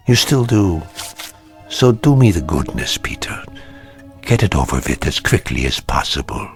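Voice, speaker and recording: A deep, grave old man faces death without fear. His low voice swings widely between quiet weariness and sudden bursts. Slow, measured delivery over vintage drama music. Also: sing-song, heavily acted. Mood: weary. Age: older. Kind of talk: 1950s television drama. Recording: vintage broadcast soundtrack with music.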